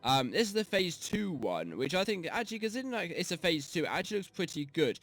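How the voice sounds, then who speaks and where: A young man with a sore voice from a cold, reviewing a game mod in loose, rambling talk, a little softer than usual. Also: sits high, big pitch swings, quick pace. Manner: rambling, many ums and restarts. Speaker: gaming YouTuber. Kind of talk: casual mod review commentary.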